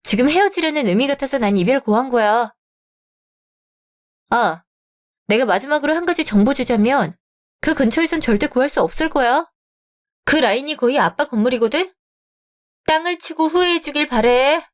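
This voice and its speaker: A young woman with a high voice who plays the heroine of a radio drama. She answers in a cool, firm and cutting way and never raises her voice. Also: expressive, normal speed, long pauses. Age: young adult. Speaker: heroine of the story.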